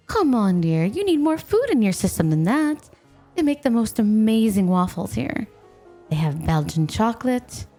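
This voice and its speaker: Mellow, teasing snow maiden played by a woman. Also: on the high side, heavily acted. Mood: sweet, pleading. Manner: coy, teasing, coaxing, addressing the listener with pet names. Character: playful, teasing, possessive snow maiden spirit posing as a young woman. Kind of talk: ASMR roleplay, scripted, intimate one-sided dialogue.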